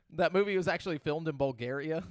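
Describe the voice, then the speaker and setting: A man's voice: a little high, fairly quick, no echo, clean studio sound. A man hosting a casual live-stream podcast, rambling through his thoughts with lots of "like" and frequent laughter as he reads the chat. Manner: loose and rambling, full of "like", laughs often.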